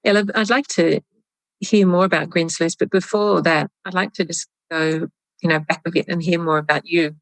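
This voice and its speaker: A woman hosting an interview speaks in a low, level voice, often summing up her guest's points and backing them with quiet murmurs. The sound is dry, with no room echo. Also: steady tone, normal speed, gravelly. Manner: supportive, frequent backchannel murmurs. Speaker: podcast host, interviewer. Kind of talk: conversational interview.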